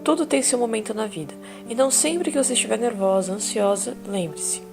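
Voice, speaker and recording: Gentle therapist, calm probing questions, music behind. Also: female voice, fairly high pitch, brisk, gravelly. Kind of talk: audio drama acting.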